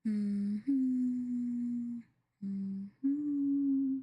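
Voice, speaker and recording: A woman's voice: on the high side, drawn-out words, slightly rough, highs cut off, exaggerated voice. A woman playing an obsessively possessive yandere girlfriend in an ASMR roleplay, cooing and coaxing. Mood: sweetly sinister. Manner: cooing, coaxing, with murmurs and giggles.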